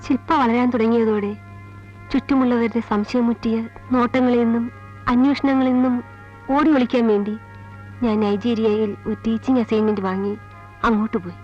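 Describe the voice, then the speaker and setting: An actress playing a sorrowful mother and former college teacher, telling her past gravely in halting, measured phrases in a film drama. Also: a little high, steady tone, natural voice. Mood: grave, resigned. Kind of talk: Malayalam film drama, slow confessional narration. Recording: dull old film soundtrack, music behind. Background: film score music.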